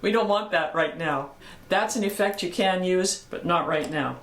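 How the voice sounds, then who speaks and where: An older woman with a low voice, teaching a singing lesson in a calm, encouraging way. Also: normal speed, roomy sound. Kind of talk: vocal lesson with sung exercises.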